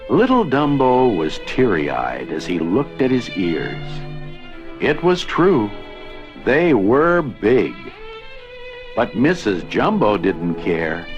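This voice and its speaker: A man narrating a children's read-along storybook in an expressive, deliberate storytelling manner. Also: on the low side, muffled recording. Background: music.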